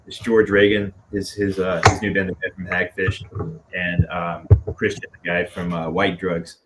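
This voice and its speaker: A man from Dallas chatting casually as a band musician being interviewed, halting and self-effacing. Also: sits low, level tone. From Dallas, Texas. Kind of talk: casual chat. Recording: clearly noisy background.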